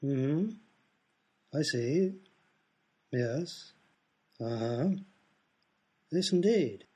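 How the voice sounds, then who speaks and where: A man playing a bumbling doctor in a radio comedy, formal, husky and politely oblivious. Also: mid-range voice, expressive, drawn-out words, mellow, full character voice.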